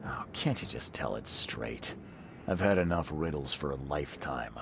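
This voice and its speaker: An actor playing a weary, skeptical investigator in a video game, with a dry, blunt, probing manner. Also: male voice, very deep voice, animated, moderate pace, vocal fry, background noise, heavily acted. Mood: skeptical, stern. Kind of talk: video game dialogue acting.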